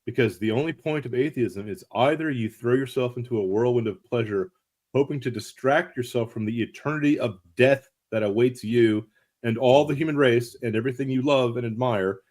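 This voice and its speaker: A man's voice: mid-range voice, a little fast, darkish tone. A man, a guest author on a livestream, talking in a wry, emphatic and self-mocking way. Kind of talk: casual livestream conversation.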